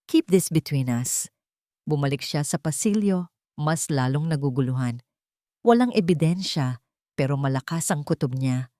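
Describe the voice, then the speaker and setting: Low-voiced woman storyteller, dry close sound. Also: mellow, dry recording. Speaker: narrator. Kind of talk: dramatic scripted narration of a love story.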